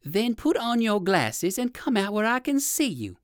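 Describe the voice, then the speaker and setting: A woman voicing a polite, curious old gentleman rabbit in a children's storybook, with courteous old-fashioned manners. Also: medium pitch, sing-song, normal speed, dry recording, studio quality, pitched above the performer's own voice, natural voice. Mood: puzzled, polite. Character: polite, eager elderly gentleman rabbit with rheumatism.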